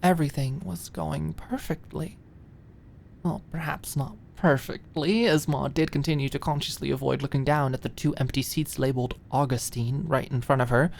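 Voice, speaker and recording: A woman's voice: low-pitched, fairly even intonation, normal speed, vocal fry, a little mellow, slight background noise. A woman reading scripted, dramatic story narration as an audiobook narrator.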